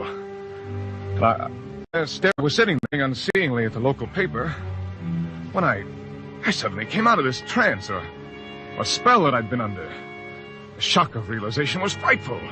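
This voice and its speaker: Actor as anguished radio-drama husband, dramatic swings. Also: male voice, medium pitch, natural voice. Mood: brooding, troubled. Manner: halting, stammering, overwrought delivery. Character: guilt-ridden anxious husband narrating his own crime tale. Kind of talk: old-time radio drama acting, first-person confessional narration. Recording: old radio broadcast with music bed.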